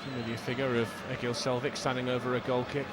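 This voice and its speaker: This is a man working as a football commentator, calling a match live, play by play. His voice sits at an average male pitch. Loud noise runs behind everything he says. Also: level tone, fast.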